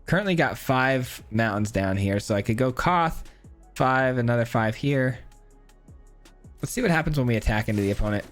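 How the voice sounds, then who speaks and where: A man with a hoarse, cracking voice gives casual commentary on his own card game, counting aloud and thinking through his plays. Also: medium pitch, animated, normal speed, soft background music. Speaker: gameplay streamer. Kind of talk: casual live gameplay commentary.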